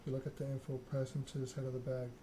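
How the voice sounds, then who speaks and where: Man reading softly in ASMR style, little inflection. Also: mid-range voice, monotone, very fast, background noise. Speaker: video game streamer reading a story game aloud. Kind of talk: ASMR narration, reading game text aloud.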